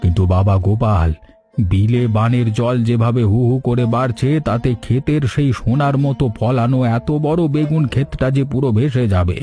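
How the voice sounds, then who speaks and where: A man's voice: medium pitch, normal speed, warm tone, soft background music, close to an everyday voice. A man voicing a worried, tender older village father in a cartoon story, speaking earnestly. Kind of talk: Bengali cartoon story dialogue.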